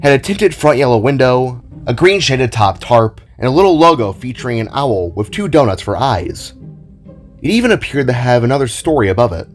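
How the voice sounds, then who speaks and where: A man's voice: mid-range voice, big pitch swings, fairly quick. A man giving a scripted narration of an audio drama, as its storyteller. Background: music.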